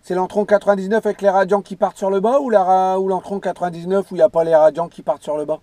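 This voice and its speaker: A man with a fairly high, slightly mellow voice, recorded close and dry. He chats casually as a CB radio operator, rambling and emphatically repeating his points. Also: fairly even intonation, rapid-fire, no echo. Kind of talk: casual radio contact chat.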